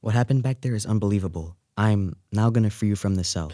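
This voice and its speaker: A gallant young fellow , voiced by a man, in a radio play. His voice sits low. He speaks with courtesy and earnest conviction. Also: fast, dark tone, natural voice.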